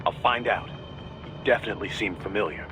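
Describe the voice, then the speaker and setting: An actor playing a businesslike intelligence support officer giving a briefing in a video game. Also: male voice, on the low side, fairly quick, natural voice. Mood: serious. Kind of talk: video game radio dialogue. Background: loud music.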